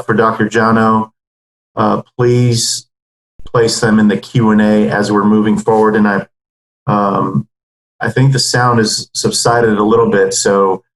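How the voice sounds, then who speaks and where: A man's voice: lowish voice, roomy sound, low-quality recording. A man moderating a webinar over a video call, talking loosely with many ums and uhs. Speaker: webinar host.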